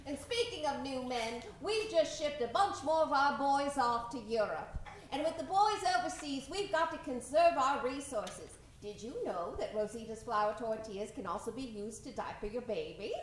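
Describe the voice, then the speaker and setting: A woman playing a gushing, chirpy wartime pitchwoman in a parody 1940s radio advertisement. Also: expressive, moderate pace. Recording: echoey stage, distant mic, faint noise.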